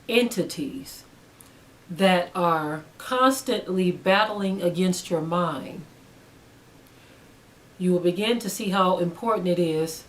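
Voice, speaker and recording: A middle-aged woman giving informal spiritual teaching in an earnest, deliberate manner, pausing between short phrases. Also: lowish voice. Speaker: religious teacher.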